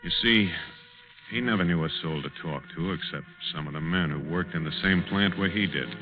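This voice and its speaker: An actor playing a quiet, lonely working man, hesitant and plain-spoken, in a 1940s radio drama style. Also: deep voice, a little fast, close to an everyday voice. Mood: brooding. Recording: muffled, narrow-band old radio broadcast, organ music.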